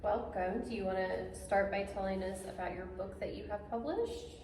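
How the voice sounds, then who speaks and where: A woman's voice: mid-range voice, normal speed. A woman co-hosting an interview casually, encouraging the guest with short responses. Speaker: podcast co-host. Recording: echoey distant mic with noise.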